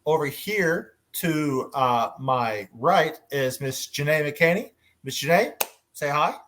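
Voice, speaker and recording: A man moderating a casual panel discussion, often pausing to search for his words. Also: on the high side, lively intonation, a bit bright, a little hiss or hum.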